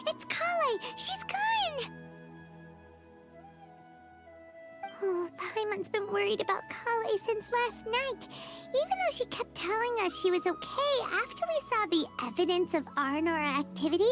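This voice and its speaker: A woman voicing a small, excitable, childlike female fairy companion with a squeaky voice in a video game cutscene. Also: normal speed, hardly any pauses, over music, exaggerated voice. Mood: alarmed, worried. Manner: speaks of herself in third person, exclamatory.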